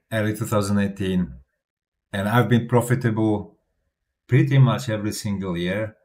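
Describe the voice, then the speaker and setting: A man's voice: fairly low pitch, darkish tone. A man from Poland with a Polish accent, talking casually to camera as a crypto YouTuber, chatty and self-assured, often restarting his sentences with small laughs.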